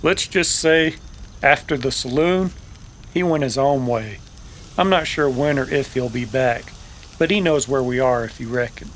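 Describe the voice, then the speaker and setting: A man's voice: mid-range voice, measured pace, a little hiss or hum, close to an everyday voice. A man playing an easygoing but guarded cowboy rancher with laconic, folksy phrasing in a Western radio drama. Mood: evasive.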